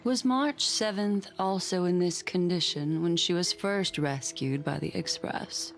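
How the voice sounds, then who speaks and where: Composed game character, low voice, creaky, analytical, quietly concerned, faint music. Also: female voice, unhurried. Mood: calm, concerned. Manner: composed, reasoning. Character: calm, analytical adult woman, quietly concerned. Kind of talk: anime game voice acting.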